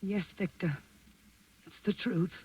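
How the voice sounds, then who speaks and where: An actress playing a proud, defiant countess with formal, cold, scornful diction in a 1940s radio drama. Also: female voice, lowish voice, steady tone, slow pace, breathy edge, full character voice. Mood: contemptuous. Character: proud, defiant countess who despises her traitor husband. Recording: dull, muffled vintage 1940s radio recording.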